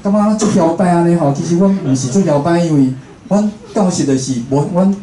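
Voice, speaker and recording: A man's voice: on the high side, a little fast, warm tone. A man with a Taiwanese accent, a radio host, giving an informal, conversational public talk and often checking in with his listeners. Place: indoor room at a public talk. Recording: roomy talk venue with faint noise.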